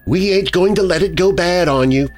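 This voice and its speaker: Male narrator as woman, raised pitch, falsely soothing, sneering, deranged, horror audiobook, faint music. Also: big pitch swings, normal speed, breathy edge. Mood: sinister mockery. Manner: falsely soothing, hissing menace. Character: sinister rural wife, soothing, sneering, coldly deranged.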